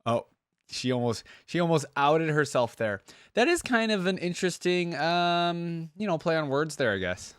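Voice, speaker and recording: A man's voice: a little high, expressive, normal speed, a bit bright, smooth, dry recording, studio quality, soft background music. A man hosting a reaction video in an informal, chatty way, thinking aloud as he comments.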